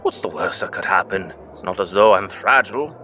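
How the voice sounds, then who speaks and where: Man acting an earnest, expressive young Norse god. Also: deep voice, fairly quick, slight background noise. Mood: defiant. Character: eager young Norse god, son pleading with his father. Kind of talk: audio drama acting.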